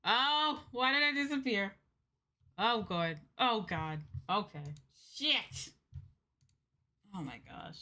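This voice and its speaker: Bright-voiced, flustered woman livestreamer. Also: fairly high pitch, lively intonation, takes it slowly, long pauses. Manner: flustered, apologetic, scattered. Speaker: VTuber livestream host. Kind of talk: casual livestream chat.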